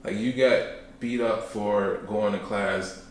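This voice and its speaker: Podcast guest, young man, deep voice, easygoing and rambling, recorded in a boomy room. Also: steady tone, slow, a little hiss or hum. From Chicago, South Side. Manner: laid-back, rambling, joking, trails off often. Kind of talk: casual conversational interview. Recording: echoey room, mic at a distance.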